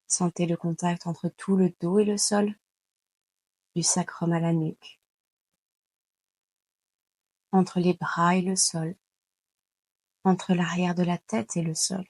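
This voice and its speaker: A woman leading a guided yoga nidra meditation in a calm, soothing, unhurried way, leaving long pauses between instructions. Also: fairly low pitch, dry recording. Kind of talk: slow guided meditation narration.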